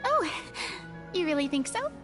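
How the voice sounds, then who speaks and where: An actress playing a gentle, thoughtful young woman in a video game, modest and sincere, telling things softly. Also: high voice, big pitch swings, a little slow, music in the background. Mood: admiring.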